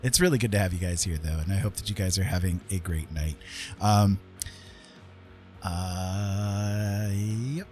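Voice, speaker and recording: A man's voice: low voice, unhurried, darkish tone, faint music behind. A man hosting a casual livestream, chatting in a friendly, rambling way as he paints. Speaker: live art streamer and host.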